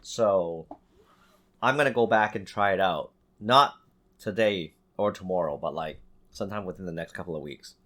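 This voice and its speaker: A man's voice: low-pitched, normal speed, fairly bright tone. A man co-hosting a podcast, talking casually about video games. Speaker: podcast co-host.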